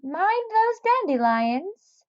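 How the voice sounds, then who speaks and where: A woman's voice: very high-pitched, sing-song, takes it slowly, no room sound, lo-fi sound, voice pushed up, full character voice. A woman voicing a fussy, disapproving female animal neighbour in a storybook, scolding and emphatic. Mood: scolding warning.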